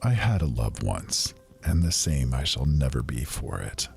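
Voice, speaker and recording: A heartbroken wanderer , as a storyteller puts him on, with a very deep, husky, gravelly voice. He drags every word out in slow, grieving, archaic phrases. It sounds like a spoken fantasy tale. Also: very animated, darkish tone, faint music behind, heavily acted. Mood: mournful. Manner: slow, mournful, old-fashioned phrasing. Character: sorrowful lovelorn male traveler.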